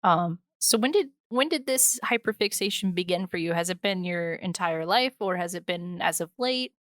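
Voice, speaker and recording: A woman's voice: fairly low pitch, animated, normal speed, fairly bright tone, no room sound, studio quality. A woman hosting a podcast in a casual, chatty style. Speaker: podcast host. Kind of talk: casual chat.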